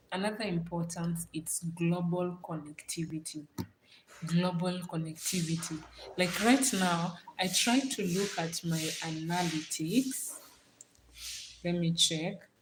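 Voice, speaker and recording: A Kenyan woman chats casually as a livestream host in a fairly low voice. She circles back over her phrases to stress them. The sound is echoey, with noticeable noise behind her. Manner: repeats phrases for emphasis. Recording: roomy echo, clear background noise.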